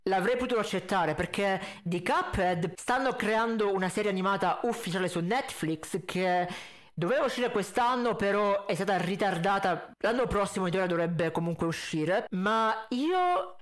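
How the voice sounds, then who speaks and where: A man's voice: high-pitched, lively intonation, moderate pace. A man giving casual, critical and emphatic reaction commentary. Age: 20s. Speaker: reaction video host. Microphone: close desk condenser mic with pop filter, headset.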